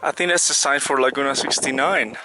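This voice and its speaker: A man vlogging on a high mountain hike, chatty and slightly hoarse, with broken phrasing. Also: steady tone, a little fast, music in the background, rough recording. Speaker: travel vlogger. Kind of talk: casual vlog narration while hiking. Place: outdoors on a high mountain trail. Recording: wind and outdoor noise.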